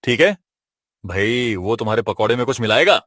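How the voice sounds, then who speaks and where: A man playing a loyal, excitable friend in an audio story. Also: mid-range voice, normal speed, dry recording, clean studio sound. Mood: alarmed. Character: loyal, anxious male friend of a vendor.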